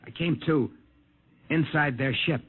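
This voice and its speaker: Husky rattled young test pilot, urgent, tinny old radio sound. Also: male voice, a little high, a little slow. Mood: earnest. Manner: earnest, urgent. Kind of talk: 1950s radio drama acting.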